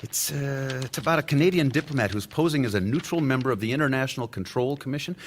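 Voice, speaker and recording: An actor playing a wry, middle-aged lawyer and war veteran in a radio drama, talkative and argumentative. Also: male voice, medium pitch, fast, slight background noise, close to an everyday voice. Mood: worried, businesslike. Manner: sardonic, chatty, argumentative. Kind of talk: naturalistic radio drama acting.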